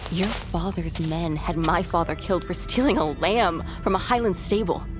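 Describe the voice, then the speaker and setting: A woman as a guarded, low-voiced young rebel thief. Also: normal speed, close to an everyday voice. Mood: bitter. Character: guarded, defiant young lowland rebel thief, wounded pride. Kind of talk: dramatic audio fiction acting. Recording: music under the voice.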